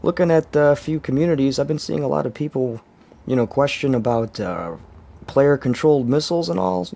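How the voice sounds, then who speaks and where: A young man with a hoarse, strained voice casually walking through his game builds, rambling with fillers and chuckles. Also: fairly low pitch, a little mellow. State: hoarse, lost voice. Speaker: game channel host. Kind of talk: casual gameplay walkthrough.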